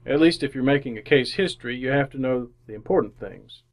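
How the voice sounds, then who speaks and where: A man's voice: mid-range voice, a little fast, natural voice. A man acting in an old-time style radio drama as a bashful, folksy young married man who stammers and hesitates. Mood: wry reminiscing.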